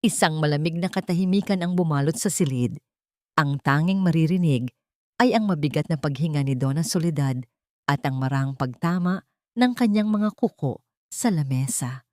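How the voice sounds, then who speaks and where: A woman narrating a dramatic audio story in a steady, solemn manner. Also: low voice, normal speed, dry recording, studio quality.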